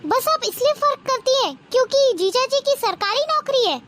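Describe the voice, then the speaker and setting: A woman playing an indignant young married daughter who speaks up reproachfully in an animated moral story. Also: extremely high pitch, normal speed, background noise. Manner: pleading, reproachful.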